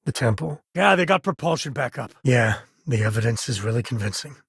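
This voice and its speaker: An actor voicing a rugged, loyal space ranger and father in a video game, husky, with a wry, folksy, laid-back way of talking. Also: medium pitch, lively intonation, fairly quick, dry recording, clean studio sound, exaggerated voice. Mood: easygoing, wry. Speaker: video game voice actor playing a companion character. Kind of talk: game character voice lines.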